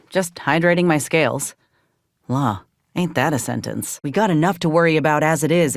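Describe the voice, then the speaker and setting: A woman's voice: low-pitched, no room sound, studio quality. A woman playing an earnest, loyal frontier soldier in a video game, speaking plainly and with measure. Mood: wry, then wary, firm. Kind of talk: video game companion dialogue.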